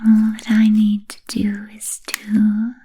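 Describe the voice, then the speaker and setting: A woman voicing a genteel, ancient vampire in a slow, formal, breathy whisper for an ASMR roleplay. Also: medium pitch, completely flat delivery, warm tone. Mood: gentle, musing. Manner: slow, halting, formal and deliberate phrasing. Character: genteel ancient vampire, languid, soothing, politely predatory. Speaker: ASMR performer.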